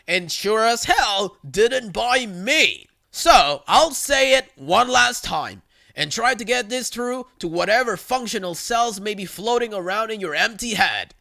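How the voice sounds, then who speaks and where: A man's voice: high-pitched, creaky, bright, forceful tone, exaggerated voice. A man voicing a defiant, sarcastic store manager, smug and emphatic, in a narrated online story.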